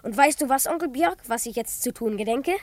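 A woman's voice: high-pitched, animated, fairly quick. A woman playing an eager, self-important twelve-year-old boy detective in a children's radio play, earnest and easily indignant. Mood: earnest, determined. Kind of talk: children's radio play acting and first-person narration.

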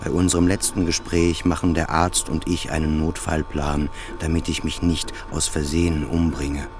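A man's voice: very deep voice, little pitch movement, moderate pace, noisy background. A man delivering scripted, introspective first-person literary narration as in a radio play, in short clipped phrases.

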